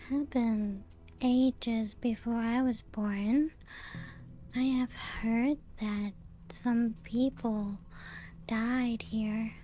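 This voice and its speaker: A woman playing a playful, teasing vampire who guides guests round her ancient castle in soft-spoken ASMR roleplay, hushed and often slipping into whispers. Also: on the high side. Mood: charmed, soothing. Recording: music playing behind the voice. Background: background music.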